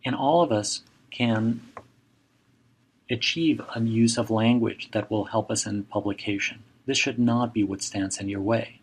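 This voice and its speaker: Gravelly middle-aged man. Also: lowish voice, fairly even intonation. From Mexico. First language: Spanish. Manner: measured, advising, direct imperatives. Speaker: journal editor and professor. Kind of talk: recorded seminar lecture.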